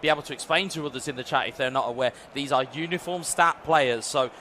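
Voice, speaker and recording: A man's voice: medium pitch, fast, pushed and bright, slight background noise. Upbeat English male esports commentator. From England. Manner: fluent, upbeat, addresses viewers as folks.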